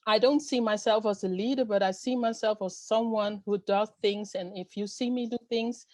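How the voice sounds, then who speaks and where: A middle-aged woman speaks earnestly in an interview, often pausing with fillers as she gathers her thoughts. Her voice sits at an ordinary pitch and sounds dry and close, with no room around it. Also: fairly even intonation, dry recording. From Netherlands. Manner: earnest, hesitant with many fillers. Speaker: guest, storyteller and event host. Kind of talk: interview answers.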